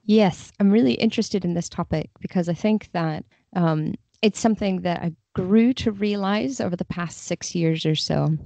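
Podcast guest, female, mellow and explanatory, conversational delivery, close dry sound with a muffled lo-fi edge. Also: fairly low pitch, normal speed, creaky, hardly any pauses, dry recording. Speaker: podcast guest, literary translator. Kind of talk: casual interview conversation.

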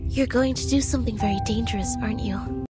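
A woman's voice: a little high, quick pace, over loud music, lightly acted. An actress playing a dutiful young schoolgirl in a video game, speaking politely, formally and earnestly. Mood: wistful.

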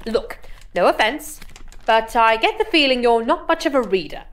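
A woman's voice: fairly high pitch, lively intonation, fairly bright tone. An actress playing a playful, teasing young female journalist in an audio drama. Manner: teasing, playful, quick-witted.

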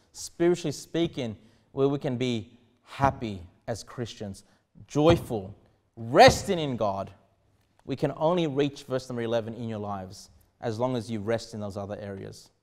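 A man in his thirties, a pastor preaching a sermon in earnest, with sudden emphatic rises and quiet drops. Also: on the high side. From Australia. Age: 30s. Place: small church studio behind a pulpit desk.

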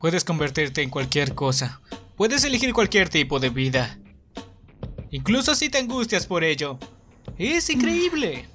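A cheeky young enforcer with a bitter edge, acted by a man in an anime fan dub. His voice is fairly high, with a Latin American Spanish accent and casual, expressive delivery over music. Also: a little slow, heavily acted. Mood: bitter, intense. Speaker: amateur fandub voice actor.

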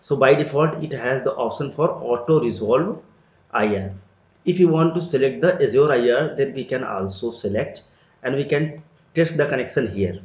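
A man teaching a screen-recorded software tutorial, explaining each step as he clicks through an interface. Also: mid-range voice, lively intonation, moderate pace. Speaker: tutorial instructor. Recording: roomy, dull lo-fi sound.

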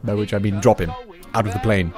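A man playing a gleefully sadistic pony airship commander in an audio drama, theatrical and full of drawn-out, mocking politeness. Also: lowish voice, steady tone, over music, natural voice. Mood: bored, cruel.

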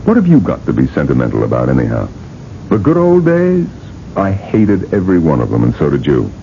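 An actor playing a cynical, arrogant, miserly older businessman in a radio drama, gruff and sardonic with mocking, drawn-out emphasis. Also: a bass voice, huge pitch swings, warm tone, exaggerated voice. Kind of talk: 1980s radio drama acting. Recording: muffled, noisy old radio broadcast.

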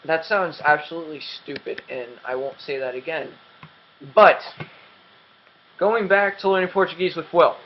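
Young male gaming host, slightly high voice that cracks, rambling and self-deprecating; dull lo-fi mic with faint hiss. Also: moderate pace, pauses often. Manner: rambling, self-mocking, many ums and restarts. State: voice cracking and dry. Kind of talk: casual game commentary.

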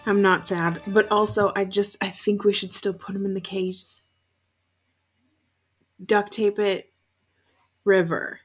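A young woman gives off-the-cuff reaction commentary from a room at home. Her voice sits at an average pitch. She is blunt and swears freely. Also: little pitch movement, slow pace, long pauses, husky, faint music behind. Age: young adult. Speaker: reaction video host. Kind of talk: casual candid reaction commentary.

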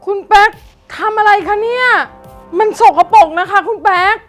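A woman's voice: very high-pitched, normal speed, faint music behind. An actress playing a timid, polite housemaid who begs her employers to stop fighting. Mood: pleading. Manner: meek, pleading and deferential.

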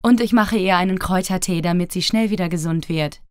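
A woman playing a caring, gently firm mother in a children's fairy tale, tender and protective. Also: fairly low pitch, brisk, smooth, dry recording, studio quality, close to an everyday voice.

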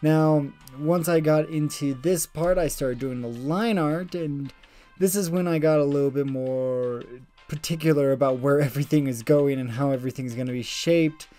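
A woman narrating over a screen recording of her digital painting, explaining her process in a relaxed, thinking-aloud way. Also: sits low, normal speed, faint music behind. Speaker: digital artist.

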